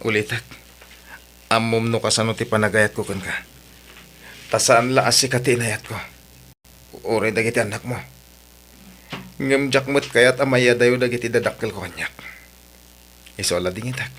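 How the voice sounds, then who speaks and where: An actor playing a devoted, long-suffering young lover and dutiful son in a radio drama, with an Ilocano accent. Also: male voice, mid-range voice, steady tone, normal speed, pauses often, fairly bright tone, slight background noise, close to an everyday voice. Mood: hurt, subdued.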